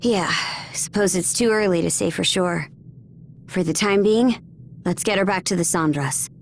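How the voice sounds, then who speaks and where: An actress playing a brash, loyal young warrior princess in a video game, with a casual, blunt, commanding manner. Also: female voice, on the low side, normal speed, vocal fry, a little hiss or hum. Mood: thoughtful, casual. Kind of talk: English video game voice acting.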